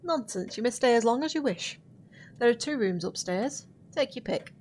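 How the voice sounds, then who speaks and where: An actress playing a centuries-old witch in an audio drama, gracious and quietly sorrowful, with formal, measured diction. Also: on the high side, animated. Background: music.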